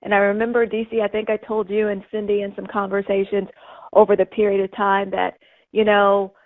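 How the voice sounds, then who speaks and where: A woman's voice: mid-range voice, flat delivery, fairly quick. A woman talking casually and heartfelt over a phone line, effusive and rambling, with lots of emphasis. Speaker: TV news presenter on medical leave, calling in. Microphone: telephone call.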